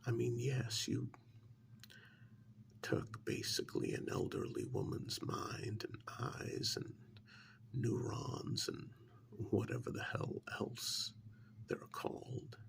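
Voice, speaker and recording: A man playing a sinister, menacing agent in an ASMR role-play, speaking softly in a breathy, gravelly half-whisper with deliberate pauses. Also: mid-range voice, steady tone, slight background noise. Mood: mocking, accusing. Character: sinister male agent coolly interrogating and blackmailing a mad scientist. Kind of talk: soft-spoken ASMR role-play monologue.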